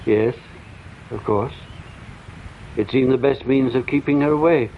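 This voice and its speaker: Over a narrow, noisy phone line comes a rueful, well-educated gentleman in a radio play. His mid-pitched voice is reflective and courteous, with a resigned, self-mocking turn. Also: unhurried. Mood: resigned, wistful. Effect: telephone filter. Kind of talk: radio drama acting. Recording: thin phone-line sound with faint noise.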